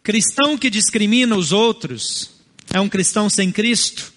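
Brazilian pastor guy, high voice, earnest preaching in short bits, long echo like a big hall. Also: normal speed, fairly bright tone. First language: not Portuguese. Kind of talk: sermon.

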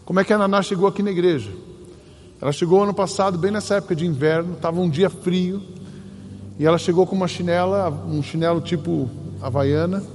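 A man with a Brazilian Portuguese accent preaching a sermon as pastor, telling stories earnestly with emphatic pauses. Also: sits high, darkish tone. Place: church sanctuary. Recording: long hall reverb, faint ambient noise.

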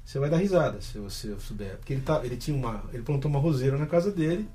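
A man hosting a casual chat, animated and quick to laugh as he tells stories. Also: medium pitch, a little hiss or hum. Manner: animated, laughs often, storytelling. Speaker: host.

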